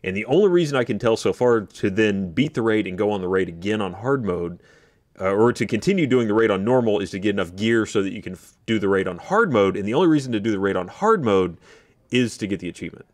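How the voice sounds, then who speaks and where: A man's voice: on the low side, animated, fast talker, no room sound. A man in his thirties on a gaming podcast panel, talking casually and arguing his opinions into a studio microphone. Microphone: studio microphone on desk.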